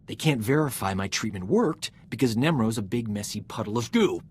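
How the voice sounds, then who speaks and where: An actor voicing a cocky, vain, glib space medic in a video game, with a low voice. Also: male voice, animated, a little fast, slight background noise, natural voice. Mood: upset, indignant. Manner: boastful, flippant, glib. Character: cocky, vain, fast-talking roguish male space medic.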